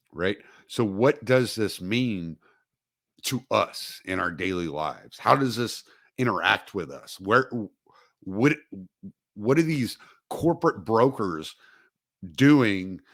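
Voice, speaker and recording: Male host, slow unhurried talker, fills his pauses and restarts his sentences a lot. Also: on the low side, no room sound, clean studio sound. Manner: rambling, halting, many fillers and restarts. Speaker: podcast host. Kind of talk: casual livestream monologue.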